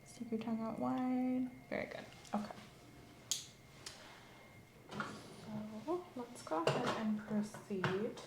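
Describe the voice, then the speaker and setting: A woman speaking softly and slowly as a clinician in an ASMR roleplay exam, often dropping to a whisper. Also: fairly high pitch, reverberant, background noise. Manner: slow, gentle, instructive, often whispering. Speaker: ASMR creator playing an examining clinician. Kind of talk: soft-spoken roleplay physical exam.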